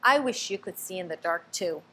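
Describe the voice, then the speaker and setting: A woman's voice: on the low side, lively intonation, normal speed, bright, forceful tone. A woman voicing a sweet, timid young female bat in a children's storybook reading. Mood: eager.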